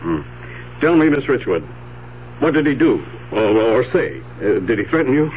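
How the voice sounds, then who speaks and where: An actor playing a calm, businesslike police officer with a measured, matter-of-fact manner in a radio drama. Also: male voice, medium pitch, natural voice. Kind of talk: 1970s radio drama acting. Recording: tinny, band-limited old radio, faint hiss.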